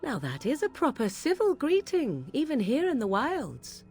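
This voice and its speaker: A woman's voice: high voice, huge pitch swings, moderate pace, darkish tone, breathy edge, soft background music, exaggerated voice. Haughty, mocking witch. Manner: mocking, formal and archaic. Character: haughty young witch woman of the wilds. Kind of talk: game voice acting.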